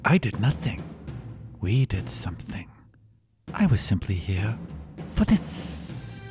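A man's voice: on the low side, very animated, takes it slowly, whispered, music in the background. A man voicing a nervous, secretive clawed woman thief on the run, speaking in clipped, formal phrases for a tabletop game. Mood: guarded, defiant.

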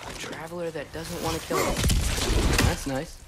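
Young guy playing a teen boy hero in a game, kinda high voice, eager and curious, noisy behind him. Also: fairly even intonation, normal speed, lightly acted. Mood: thoughtful. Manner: casual, questioning, youthful. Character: earnest, curious, eager teenage boy adventurer. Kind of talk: video game dialogue acting.

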